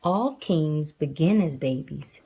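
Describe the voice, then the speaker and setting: A woman's voice: sits low, animated, takes it slowly, warm tone, slightly rough. A woman reading a picture book to a child and chatting casually, explaining things simply and patiently. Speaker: mother reading to her child. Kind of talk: picture book read aloud with casual chat.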